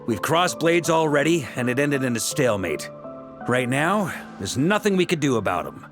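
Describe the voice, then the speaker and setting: A man's voice: medium pitch, very animated, moderate pace, over music. An actor playing a seasoned, easygoing knight commander in a video game, confident and conversational as he explains. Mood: measured, thoughtful. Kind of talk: video game character acting.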